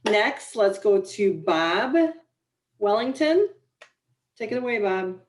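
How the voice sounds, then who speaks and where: Woman moderator, warm and encouraging, roomy echo, faint background noise. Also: mid-range voice, animated, slow pace. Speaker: panel moderator. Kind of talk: hosting a video call panel. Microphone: video call.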